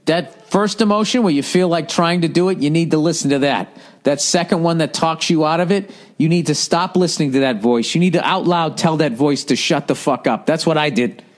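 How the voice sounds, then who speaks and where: A middle-aged man hosting a podcast, bluntly giving advice with lots of swearing and mocking put-on voices. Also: fairly quick. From United States. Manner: profane, blunt, mocking voices in a higher pitch. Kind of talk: casual advice rant reading listener letters.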